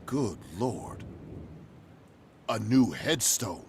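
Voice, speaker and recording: A man's voice: fairly low pitch, sing-song, extremely slow, creaky, heavy background noise, heavily acted. A man playing an uneasy real estate agent, acted in the manner of a 1940s radio horror drama. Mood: shocked.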